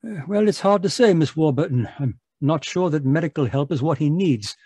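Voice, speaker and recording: A genial, rambling doctor from an old radio mystery, acted by a man. His voice is high-set and rough-edged, with a hesitant, proper delivery. It is recorded dry over a video call. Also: expressive, normal speed, dark tone, dry recording. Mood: concerned, polite. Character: genial, rambling, fussy gentleman doctor narrating his adventure. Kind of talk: 1940s radio mystery acting.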